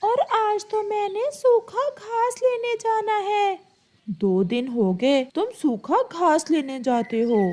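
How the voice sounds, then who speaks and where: A woman's voice: very high voice, a little mellow, heavily acted. A woman voicing a naive male parrot in a cartoon, with a plaintive manner.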